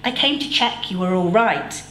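A woman voicing a timid, jumpy young boy in a storybook reading, with a squeaky, high, anxious manner. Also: lively intonation, moderate pace, fairly bright tone, reverberant, slight background noise, natural voice. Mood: defensive.

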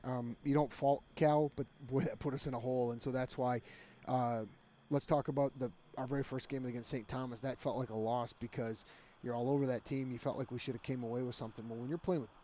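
A man's voice: medium pitch, steady tone, fast, warm tone. A middle-aged man, a head soccer coach, talking candidly in a postgame interview through a headset mic in a small studio. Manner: candid, conversational, with frequent fillers. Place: small studio with green backdrop.

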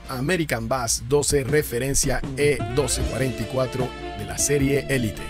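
A man reading a scripted rundown of subwoofer specifications and prices for a car audio channel. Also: medium pitch. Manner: reads spec lists and prices in an even explaining tone. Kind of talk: scripted product narration. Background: background music.

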